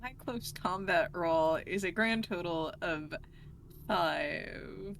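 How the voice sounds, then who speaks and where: A woman's voice: lowish voice, slow, fairly bright tone, rough recording. A woman chatting casually as a player in a tabletop role-playing game.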